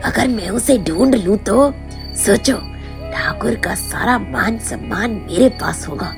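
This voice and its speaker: Woman voicing a creaky, greedy landlord's son. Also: fairly high pitch, slow, full character voice. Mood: scheming, greedy. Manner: scheming, self-serving, dismissive. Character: greedy, scheming young son of a village landlord. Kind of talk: animated Hindi moral story voice-over. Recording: music behind the voice.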